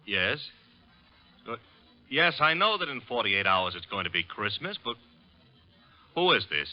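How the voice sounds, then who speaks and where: A cheeky detective's assistant from vintage radio, sardonic and breezy, with a lively swinging pitch. The recording is muffled, with faint music. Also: male voice, measured pace. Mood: wry. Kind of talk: 1950s radio detective drama acting.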